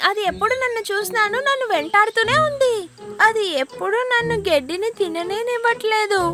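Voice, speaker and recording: A woman voicing a playful, hungry, wary young calf in a children's cartoon, childlike and exclamatory. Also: very high-pitched. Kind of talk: animated children's cartoon dubbing.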